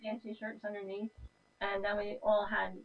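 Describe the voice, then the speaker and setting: A woman's voice: mid-range voice, little pitch movement, moderate pace, lo-fi sound. A woman guest chatting casually in an interview, telling a story animatedly with many fillers, from home over a call. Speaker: guest, singer in a group.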